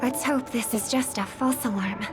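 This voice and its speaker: An actress playing a gentle young singer and devoted younger sister in a game cutscene, speaking in a soft, hushed way. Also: female voice, a little high, fairly even intonation, lightly acted. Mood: hushed worry. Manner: soft-spoken, slow and hushed. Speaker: game character. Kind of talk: voiced game cutscene dialogue. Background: game music.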